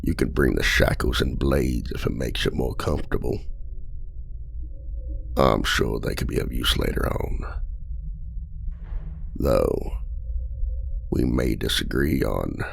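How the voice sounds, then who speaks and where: A man's voice: slow, long pauses, slight background noise, heavily acted. A man playing a charming imprisoned male outlaw trickster in an ASMR roleplay, teasing and flirtatious in a silky voice. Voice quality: deep gravelly voice.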